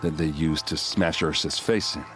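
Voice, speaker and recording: Deep-voiced, weary warrior over music. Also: male voice, steady tone, normal speed. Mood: grim. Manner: weary, self-deprecating. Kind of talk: video game voice acting. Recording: dramatic music and game sounds behind.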